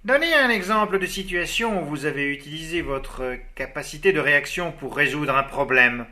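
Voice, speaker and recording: An actor playing a polite, businesslike recruiter who conducts a job interview in formal, courteous tones, in a scripted learner dialogue. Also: male voice, on the high side, expressive, normal speed, pushed and bright.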